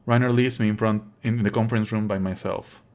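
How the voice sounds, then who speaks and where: A man's voice: fairly low pitch, fairly even intonation, brisk, a little mellow. A man doing live let's play commentary as a streamer, sardonic and indignant, swearing freely and at times reading game lines aloud.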